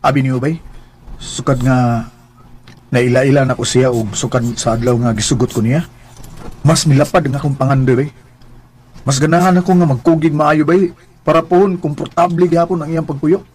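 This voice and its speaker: A man's voice: on the high side, fairly even intonation, normal speed, long pauses, a little mellow, slight background noise, natural voice. A man playing an earnest, devoted young boyfriend in a radio drama, with a Cebuano Filipino accent and a pleading manner. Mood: earnest, nervous.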